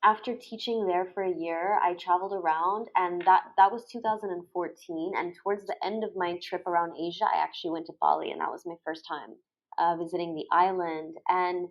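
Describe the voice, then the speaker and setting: Young woman, lower-pitched, chatty storyteller. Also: fairly even intonation, fairly quick, dull audio. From Egypt and Thailand. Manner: chatty, informal, peppered with "like" and "you know". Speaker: podcast guest, storyteller and photographer. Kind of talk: casual conversational storytelling.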